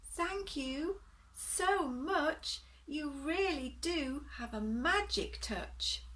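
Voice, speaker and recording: A woman's voice: high voice, animated, extremely slow, fairly bright tone, recorded from a distance, a little hiss or hum, pitched above the performer's own voice, full character voice. A woman voicing a lost, lonely male beast from a children's storybook, earnest and plaintive. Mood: grateful.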